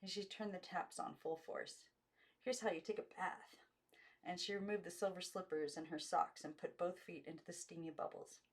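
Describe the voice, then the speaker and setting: Low-pitched woman reading a story. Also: fairly even intonation, fairly quick, few pauses. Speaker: amateur audiobook reader. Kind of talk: scripted story narration.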